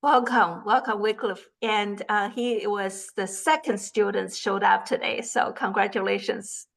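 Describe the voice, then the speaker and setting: A woman's voice: medium pitch, fairly even intonation, measured pace, gravelly. A woman hosting an online class over a video call, welcoming students in an encouraging, lightly teasing way. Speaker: class host.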